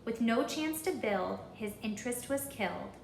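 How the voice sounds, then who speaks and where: A woman reading a children's story aloud in an expressive, deliberate, rhythmic way, pausing to put questions to listeners. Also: a little high, creaky, roomy sound, a little hiss or hum. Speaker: storybook reader.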